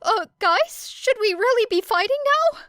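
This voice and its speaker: A woman's voice: normal speed, fairly bright tone, dry recording, clean studio sound. Woman doing a jittery, earnest teen boy hero. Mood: anxious. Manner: anxious, stammering, high-strung.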